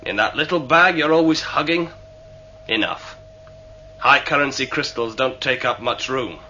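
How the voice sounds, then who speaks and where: A man's voice: medium pitch, animated, unhurried, bright, forceful tone. An actor playing a cocky, sneering male spacer, a scheming mutineer who needles his captors in a sci-fi radio drama. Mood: scheming, boastful. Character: sly, taunting male mutinous spacer held captive. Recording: muffled, hissy old radio recording with hum.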